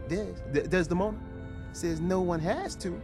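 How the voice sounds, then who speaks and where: An actor playing an excitable, paranoid male gadget tinkerer who rambles conspiratorially in a video game. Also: high voice, moderate pace, music in the background. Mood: agitated, conspiratorial. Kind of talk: video game dialogue acting.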